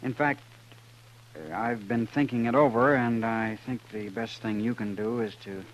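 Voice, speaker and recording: An actor playing a cool, cagey outlaw prisoner in a 1950s radio western, with a slow, guarded way of talking. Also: male voice, medium pitch, dull audio, lightly acted. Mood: guarded, evasive. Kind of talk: 1950s radio drama acting. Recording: humming, noisy 1950s radio recording.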